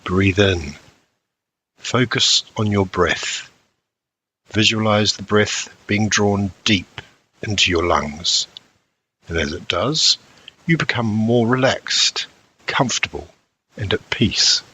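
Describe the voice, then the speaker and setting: A man narrating a scripted guided meditation as an instructor, in measured short phrases with frequent pauses. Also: on the low side, sharp tone, slightly rough, rough recording.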